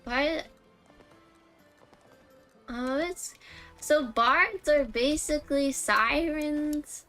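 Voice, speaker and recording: Unhurried, higher-voiced woman streamer. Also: pushed and bright. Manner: musing, jokey. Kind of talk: casual live game commentary. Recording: streamed voice over faint game music.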